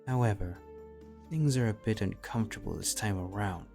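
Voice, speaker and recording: A man's voice: on the low side, gravelly. A man reading scripted fan fiction as a story narrator. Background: music.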